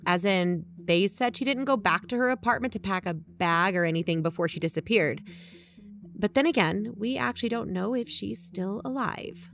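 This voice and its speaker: A woman's voice: mid-range voice, moderate pace, vocal fry, fairly bright tone. A woman playing an earnest, dry-humoured investigator who co-hosts a podcast, narrating in a plain-spoken, conversational way. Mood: serious. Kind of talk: conversational scripted audio drama narration. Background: background music.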